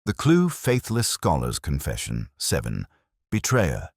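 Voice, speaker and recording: A man with an extremely deep voice narrates a literary mystery in the first person. His delivery is measured and solemn, with a lively rise and fall in pitch. The sound is dry and close, free of room echo.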